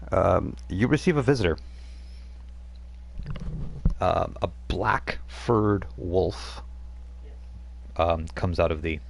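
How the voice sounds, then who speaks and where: A man's voice: low-pitched, vocal fry. A man running a tabletop role-playing game as game master, narrating in a rambling way with many fillers.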